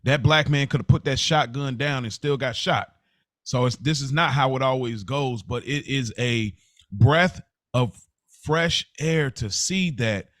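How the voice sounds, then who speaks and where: A man's voice: mid-range voice, fairly bright tone, no echo, clean studio sound. A middle-aged American man speaking casually and earnestly as a podcast co-host, repeating phrases for emphasis. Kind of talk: casual, heartfelt conversation.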